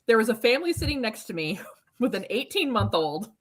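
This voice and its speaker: Animated woman with a higher voice. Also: fairly quick. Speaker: podcast co-host. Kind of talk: casual chat.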